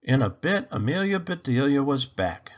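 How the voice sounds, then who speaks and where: A man reading a children's picture book aloud as a storyteller, with expressive, dramatic emphasis. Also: medium pitch, moderate pace, highs cut off. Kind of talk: animated read-aloud narration.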